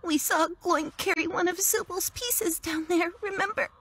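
Cartoon masked ribbon creature: meek, worried, earnest, extremely high voice. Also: female voice, normal speed, fairly bright tone. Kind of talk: animated series voice acting.

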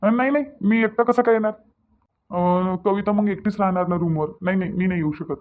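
A man's voice: sits high, brisk, close to an everyday voice. A man voicing a mild, evasive young husband in a Marathi cartoon, soothing and repeating himself in Vidarbha dialect, on narrow muffled audio. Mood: apologetic. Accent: Vidarbha (Varhadi) Marathi. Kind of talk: Marathi cartoon story dialogue.

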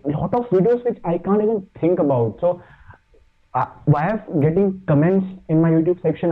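An Indian-accented man whose first language is Hindi talks casually as a guest, stopping often to search for words. His voice sits a little high. It comes through a thin, phone-like line. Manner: halting, many fillers and restarts. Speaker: podcast guest, fitness YouTuber. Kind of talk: casual chat.

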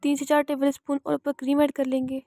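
A woman's voice: high voice, completely flat delivery, quick pace, warm tone, no echo, studio quality. A woman hosting a cooking channel, narrating a recipe step by step in a plain, repetitive instructional voice-over.

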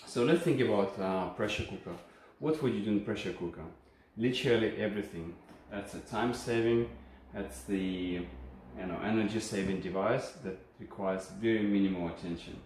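A man's voice: lowish voice, moderate pace, darkish tone. A man with a Ukrainian accent hosting a casual, unscripted product review in a home kitchen, explaining things in a friendly way with many hesitations, filmed on a phone camera from a distance. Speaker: channel host reviewing a kitchen appliance. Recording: echoey kitchen with faint hum.

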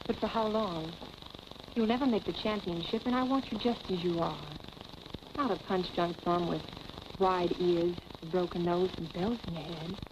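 Actress as a scheming forties femme fatale, hissy soundtrack. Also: female voice, on the low side, fairly even intonation, moderate pace, highs cut off, natural voice. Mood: cool, belittling. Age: young adult. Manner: cool, coaxing, condescending. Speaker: film actor. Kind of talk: 1940s film acting. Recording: noisy vintage film soundtrack.

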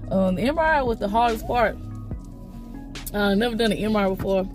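A woman's voice: mid-range voice, fairly quick, music in the background. Chatty congested woman vlogging from her car. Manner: rambling, chatty, many fillers and restarts. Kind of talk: casual vlog chat to camera. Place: parked car. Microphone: phone camera held close.

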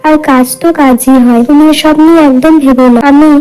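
A woman's voice: high-pitched, fairly even intonation, a little fast, dark tone, faint music behind, natural voice. A woman playing a poor, kindly village wife in a cartoon moral tale, speaking politely and earnestly. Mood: gentle, reassuring.

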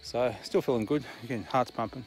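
A man's voice: medium pitch, a little fast, faint music behind. Puffing Australian man on a night hike. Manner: breathless asides, puffing between phrases. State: out of breath. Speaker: hiker vlogger. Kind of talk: casual vlog commentary while hiking. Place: outdoor bush trail at night. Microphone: camera held close at arm's length.

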